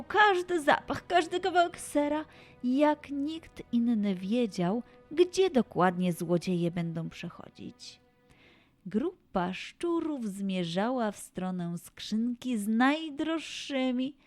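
A woman narrating a children's story slowly and expressively. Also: on the high side. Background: soft background music.

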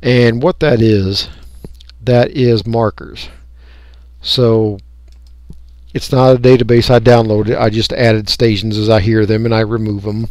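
A man's voice: lowish voice, steady tone, unhurried, a little mellow, no room sound, studio quality. Folksy American man, casual tutorial. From United States. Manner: folksy, rambling, thinking aloud. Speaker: radio hobbyist giving a software tutorial. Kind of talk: casual unscripted screen-recording walkthrough.

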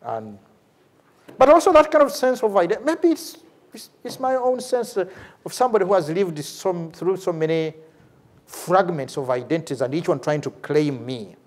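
Ugandan man lecturing, fairly high voice. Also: normal speed. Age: middle-aged. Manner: animated, repeats words for emphasis, chuckles between phrases. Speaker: lecturer, theology professor and priest. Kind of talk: lecture with off-the-cuff answer to a question. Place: large university lecture hall, at a lectern.

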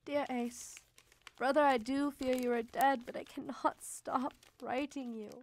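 Radio drama: devoted young Victorian mother, slightly high voice, emotive and intimate letter reading. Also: steady tone, a little slow, noisy background. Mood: sad, resigned.

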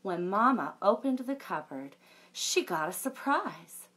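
A woman in her thirties reading a picture book aloud to children, expressive, with chatty asides to young listeners. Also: medium pitch, unhurried. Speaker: library story time presenter.

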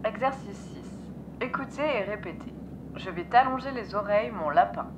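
A woman's voice: mid-range voice, lively intonation, brisk, natural voice. Composed female tape instructor over noisy ambience, acted out. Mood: instructive. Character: composed French language cassette instructor. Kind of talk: scripted language lesson.

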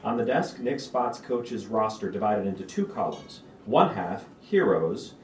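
A man's voice: on the low side, animated, a little slow. A man reading screenplay stage directions aloud as narrator at a table read. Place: stage of a small auditorium. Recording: roomy auditorium, distant mic, background noise.

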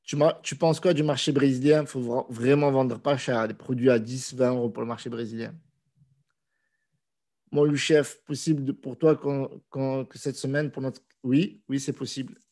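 A man's voice: mid-range voice, moderate pace. A man in his thirties with a cold, casually answering viewer questions in a live stream from a small room on a video call with a desk microphone. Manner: relaxed, conversational, with frequent hesitations. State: has a cold.

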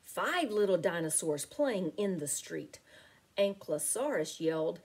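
Slow, expressive woman reading a picture book. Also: lowish voice, slightly rough. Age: middle-aged. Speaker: teacher reading aloud to children. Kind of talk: picture book read-aloud. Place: small home room with window blinds.

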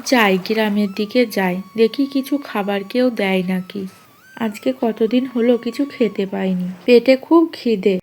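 A woman's voice: mid-range voice, normal speed, darkish tone, close to an everyday voice. A woman voicing a poor, hungry orphaned fisherwoman in a cartoon story, lamenting yet resilient. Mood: pleading. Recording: clear background noise and ambience.

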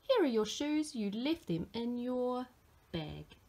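A woman's voice: on the high side, sing-song, a little mellow, heavily acted. Woman as a hearty, drawling storybook dad. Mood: amused, dismissive. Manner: emphatic, drawn-out. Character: laughing, dismissive storybook father, hearty.

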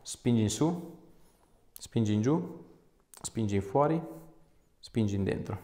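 A man in his thirties with a fairly low voice, recorded in a small echoey treatment room. He works as an osteopath, talking casually as he examines a patient. His instructions are short and reassuring. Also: animated, measured pace, dark tone. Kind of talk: casual clinical chat during a hands-on exam. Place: small indoor clinic room. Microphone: small mic clipped to scrub top.

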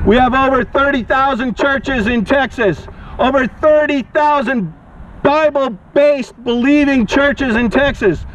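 A man street preaching outdoors, declaiming accusingly in short, projected phrases through a megaphone. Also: extremely high pitch. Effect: amplified through a megaphone. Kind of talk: open-air protest preaching. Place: outdoors by a walkway and car park. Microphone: action camera beside the megaphone. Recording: dull megaphone sound, outdoor noise, cars.

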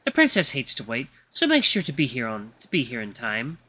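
Performer voicing a poised, stern maid with a bright tone. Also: mid-range voice, huge pitch swings, husky, heavily acted. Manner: formal, measured, commanding. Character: tall, poised, stern female maid servant to a princess.

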